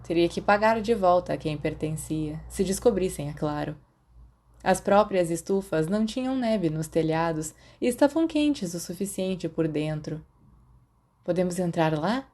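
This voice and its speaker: A woman's voice: fairly low pitch, lively intonation, normal speed, darkish tone. A Brazilian woman reading scripted fiction aloud as an audiobook narrator.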